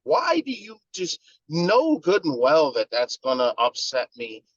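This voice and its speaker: A man's voice: fairly high pitch, wildly swooping pitch, measured pace, fairly bright tone, no echo. Male co-host, heated emphatic ranting, dry close sound, a bit lo-fi. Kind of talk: casual chat.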